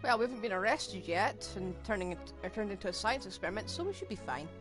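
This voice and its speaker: A woman's voice: medium pitch, expressive, fast talker. A woman streamer making casual, sarcastic comments while playing a game. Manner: sarcastic quips. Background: game music.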